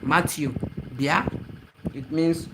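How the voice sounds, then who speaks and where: A man's voice: fairly high pitch, slow pace. Nigerian man chatting on a noisy livestream. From eastern Nigeria. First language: Igbo. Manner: repetitive, greets commenters excitedly by name. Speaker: live stream host. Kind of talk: casual live stream chat.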